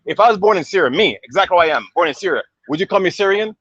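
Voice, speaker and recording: Insistent husky-voiced street preacher man. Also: sits high, animated, very fast, a bit bright, no echo, muffled recording. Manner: insistent, repeats "okay" and "right?". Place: outdoors on a city street.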